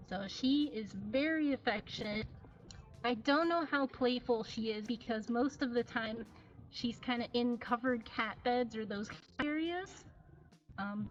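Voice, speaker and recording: A giggly, affectionate woman chatting casually as she hosts a live showcase stream. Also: a little high. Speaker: cat cafe livestream host. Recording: dull lo-fi livestream audio. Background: faint music.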